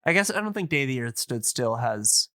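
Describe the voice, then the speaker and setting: A man co-hosting a casual podcast discussion, explaining things in a halting, digressive way. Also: medium pitch, very animated, bright, forceful tone, no echo, studio quality. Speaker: podcast co-host explaining radio history.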